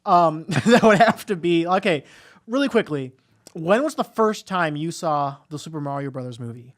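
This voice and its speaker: A man co-hosting a casual, joking movie podcast, telling stories in an animated way and acting out quotes. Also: on the high side, moderate pace. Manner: animated storyteller, acts out quotes. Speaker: podcast co-host. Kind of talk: casual joking film chat.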